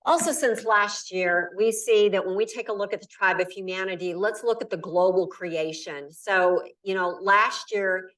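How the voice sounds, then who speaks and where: A woman's voice: medium pitch, moderate pace, hardly any pauses, fairly bright tone. A middle-aged woman from Nebraska giving an emphatic conference talk in a lecture hall, stressing key words in repeated lists. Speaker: keynote speaker. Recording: voice from far off the mic.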